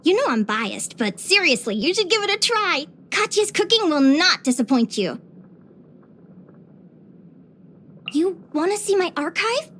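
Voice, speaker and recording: A woman voicing a bubbly, curious and sweet young female adventurer in a video game, with an expressive, chirpy delivery. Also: very high voice, moderate pace, heavily acted. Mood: cheerful, proud. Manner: expressive, chirpy, with sighs and giggles. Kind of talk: scripted video game character voice lines.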